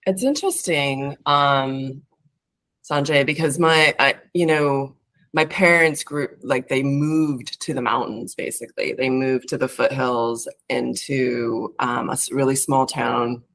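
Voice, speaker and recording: A woman's voice: rumbling low, a little slow, fairly bright tone. A woman from California, a therapist being interviewed, talking in a rambling, reflective way with many fillers and restarts. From California, United States. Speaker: therapist, podcast guest. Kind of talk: casual interview talk.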